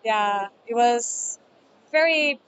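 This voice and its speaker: Enthusiastic woman, fairly high voice. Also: takes it slowly, fairly bright tone, a little hiss or hum. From Brazil. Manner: enthusiastic, fillers like "right?". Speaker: retail expert guest. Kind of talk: casual podcast interview.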